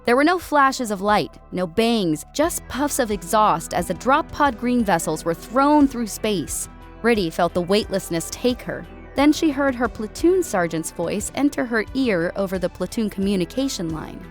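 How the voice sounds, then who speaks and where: A woman delivers scripted story narration in a mid-pitched voice. She reads prose as an audiobook narrator. Music plays softly behind her. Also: animated, normal speed. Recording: music bed under voice. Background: background music.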